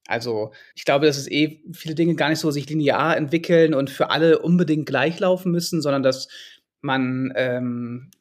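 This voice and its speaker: A man in his forties from Hamburg, a guest author in a podcast interview, speaking reflectively and hesitantly with many fillers. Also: on the high side. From Hamburg, Germany. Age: 40s.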